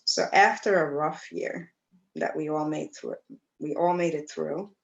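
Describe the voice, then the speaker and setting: A woman teaching yoga, guiding breathing and meditation in a gentle, soothing way, with pauses between phrases. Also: sits low, creaky, dull audio. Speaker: yoga teacher leading a class. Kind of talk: guided breathwork and meditation instruction.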